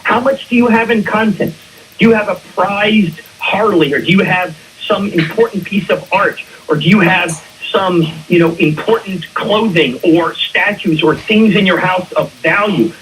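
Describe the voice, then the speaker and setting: High-pitched man giving emphatic expert advice on radio. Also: normal speed, a bit bright, distant mic, slight background noise, poor audio. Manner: emphatic, explanatory, asks rhetorical questions. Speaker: attorney and insurance claims expert, radio guest. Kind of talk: conversational advice on a radio talk show. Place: radio station.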